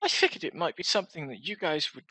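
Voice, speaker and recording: A man's voice: a little high, huge pitch swings, fast, pushed and bright, husky, dry recording. A man hosting a gaming video, reading a visual novel aloud theatrically with self-deprecating commentary. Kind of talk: lets-play commentary and dramatic read-aloud narration.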